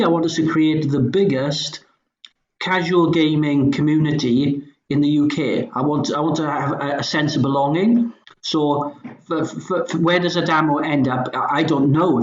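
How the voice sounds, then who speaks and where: A middle-aged man with a soft, anglicised Welsh accent, talking casually as a podcast guest in hesitant, stop-start phrases. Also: fairly high pitch. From Wales, Swansea Valley. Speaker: podcast guest, company founder. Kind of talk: casual conversational talk. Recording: roomy echo, slightly dull sound.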